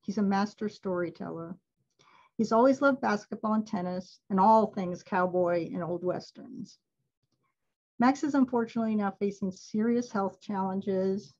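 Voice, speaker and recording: A woman's voice: medium pitch, warm tone, muffled recording. A woman giving a casual webinar talk on a video call, chatty and laughing often. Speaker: alumni event presenter.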